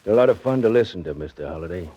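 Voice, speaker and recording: Low-voiced, smugly mocking shady hotel clerk. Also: male voice, animated, rapid-fire, breathy edge. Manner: smug, mock-courteous, insinuating. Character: shady, mocking male flophouse desk clerk. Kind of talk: 1940s radio crime drama acting. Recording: muffled vintage 1940s radio recording.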